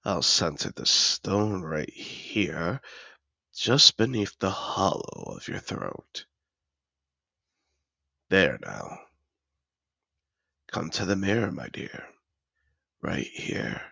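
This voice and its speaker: A man playing a seductive, possessive dragon in human disguise in an intimate fantasy audio roleplay, teasing and courtly in a slow, gravelly murmur. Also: very animated, bright, forceful tone, dry recording, full character voice. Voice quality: very deep, gravelly. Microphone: close, dry microphone.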